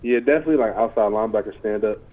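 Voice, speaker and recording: A man's voice: fairly low pitch, racing. Young American man, dull lo-fi call audio. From United States (California and Ohio). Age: 20s. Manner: informal, repeats "you know" and "like" often. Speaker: American football player, linebacker. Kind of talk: casual press interview answers. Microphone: remote conference call.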